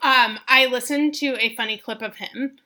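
Young woman, podcast co-host, casual chat, playful teasing, bright tone, slightly high pitch. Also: steady tone. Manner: playful, teasing, quick back-and-forth.

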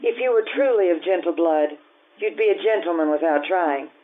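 An actress playing a blunt, warm-hearted Italian innkeeper in an old-time radio drama, earthy and frank in manner. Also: female voice, lowish voice, brisk, phone-quality sound, natural voice. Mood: frank, teasing.

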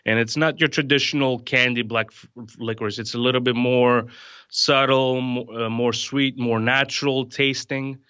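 A man from Greece in his thirties, explaining things in a relaxed, rambling way with plenty of fillers. His voice is bright and forward, recorded dry and close with a slightly dull, muffled top end. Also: mid-range voice, normal speed, clean tone, no echo. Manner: relaxed, many fillers and restarts. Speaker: distiller, podcast guest. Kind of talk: casual podcast conversation.

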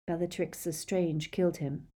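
A woman's voice: fairly low pitch, steady tone, normal speed, dark tone, lightly acted. A woman voicing an earnest, direct teenage boy wizard in an audiobook. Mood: somber.

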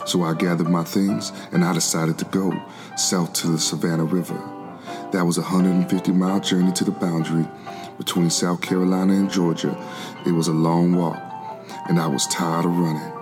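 Actor as deep, level-toned man escaping slavery. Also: little pitch movement, normal speed, music in the background. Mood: weary, resolute. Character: weary, determined escaped slave narrating his 1700s flight to freedom. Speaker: narrator in a gospel oratorio. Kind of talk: dramatic scripted first-person narration.

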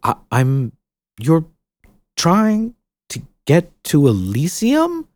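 A man voicing a gushing, welcoming woman who leads a hidden paradise, with a lively, sing-song delivery. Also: rumbling low, normal speed, husky, dry recording, voice pushed up, heavily acted. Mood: flustered. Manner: effusive, gushing.

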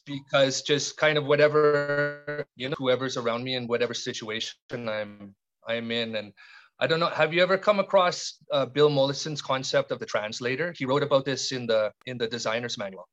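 A middle-aged man from Canada, a podcast guest speaking in a reflective, halting way with frequent restarts and fillers. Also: medium pitch, steady tone, fairly bright tone, lo-fi sound, faint music behind. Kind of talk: reflective conversational interview.

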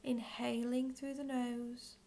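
Slow, higher-voiced woman guiding a meditation. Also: flat delivery. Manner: measured, gentle phrasing with long pauses. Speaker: guided meditation leader. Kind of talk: guided visualisation, slow scripted narration.

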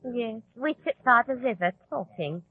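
Wistful, higher-voiced radio sweetheart. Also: female voice, expressive, moderate pace, husky, no room sound. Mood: dreamy, confiding. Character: wistful, naive sweetheart of a conspirator. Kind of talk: 1940s radio acting. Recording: dull, lo-fi old radio recording.

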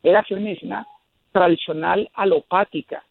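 A man's voice: high voice, fairly even intonation, normal speed, creaky, no room sound, narrow, tinny audio. A middle-aged man with a Colombian accent, telling his story conversationally as an interview guest, emphatic and often checking in with rhetorical questions. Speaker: interview guest, homeopathic doctor.

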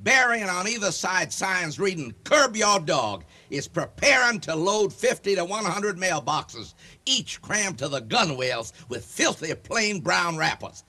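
Comic radio play: pompous male zealot, excitable and declamatory, high, piercingly bright delivery. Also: unhurried, full character voice. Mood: urgent alarm. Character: pompous, paranoid moral-crusader man, excitable and self-righteous. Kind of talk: comic radio drama acting.

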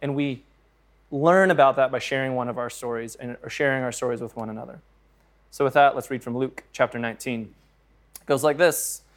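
A man's voice: mid-range voice, fairly quick. A man preaching a sermon as pastor, earnest and conversational, reading scripture aloud and joking about his own slips.

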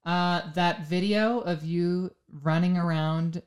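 A woman's voice: on the low side, fairly even intonation, slow pace, darkish tone. A woman in her twenties co-hosting a podcast, blunt and teasing as she chats. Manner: teasing, blunt, laughs at her own jokes. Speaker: podcast co-host. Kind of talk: casual chat.

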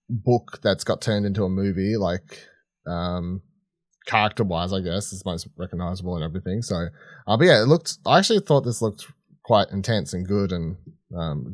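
A man's voice: deep voice, dry recording, studio quality. A man co-hosting a podcast, chatting casually about films in an informal, rambling, slangy way. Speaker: podcast host. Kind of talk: casual film review chat.

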